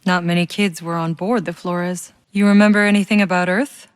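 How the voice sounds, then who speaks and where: An actress playing a tough, sardonic, battle-hardened soldier, the protagonist of a video game, with a blunt, wry delivery. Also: female voice, fairly low pitch, fairly even intonation, moderate pace, dry recording, studio quality, close to an everyday voice. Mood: serious, probing. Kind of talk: video game character voice lines.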